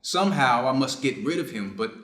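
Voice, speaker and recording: A man playing a conflicted, dutiful surgeon in a reader's theater piece, speaking in a measured, formal, earnest way. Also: medium pitch, animated, a bit bright, natural voice. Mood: grave, deferential. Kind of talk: reader's theater stage reading. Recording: echoey room.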